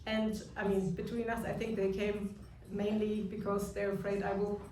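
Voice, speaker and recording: A woman in her thirties from Germany with a German accent gives an earnest awards-evening speech, pausing often on fillers. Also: medium pitch, little pitch movement, darkish tone, slight background noise. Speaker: award speaker. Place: large echoey function room. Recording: echoey room, distant mic, music behind.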